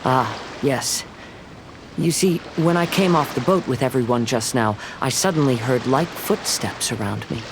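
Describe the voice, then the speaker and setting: A man playing a calm, gentle young samurai in an anime game, polite and measured in speech. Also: medium pitch, noisy background, natural voice. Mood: reflective.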